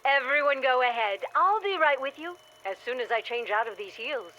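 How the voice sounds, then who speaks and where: Woman, carefree glamorous movie star, high bright voice, theatrical, old TV drama. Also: lively intonation, moderate pace, lo-fi sound, a little hiss or hum. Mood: gracious, carefree. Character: breezy, glamorous female film star hostess. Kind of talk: old television drama acting. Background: faint music.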